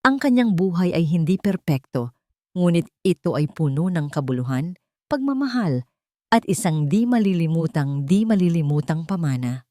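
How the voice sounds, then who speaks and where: A woman storyteller with a fairly low voice narrates from a script. Her delivery is earnest and sentimental, shaped like dramatic romance narration. The sound is dry, with little room to it. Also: expressive, moderate pace, dark tone, dry recording.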